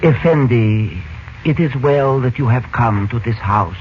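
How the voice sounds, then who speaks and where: An actor in a 1940s radio drama playing a grave, deferential servant of a princess, speaking formally. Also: male voice, medium pitch, lively intonation, unhurried, lightly acted. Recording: noisy, muffled old radio recording.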